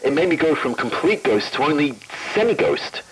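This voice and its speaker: A man playing an excitable, complaining invisible man in an audio drama, heard through a walkie-talkie filter. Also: on the high side, moderate pace, breathy edge, heavily acted. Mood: eager. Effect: muffled walkie-talkie radio filter.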